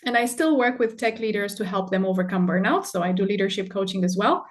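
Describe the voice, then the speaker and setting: A woman from Romania speaks in a relaxed, conversational way on a video call. She talks like a coach, filling pauses with soft fillers and reaching for spiritual turns of phrase. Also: mid-range voice. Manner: warm, spiritual wording, many fillers. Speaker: leadership coach. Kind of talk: casual video call chat.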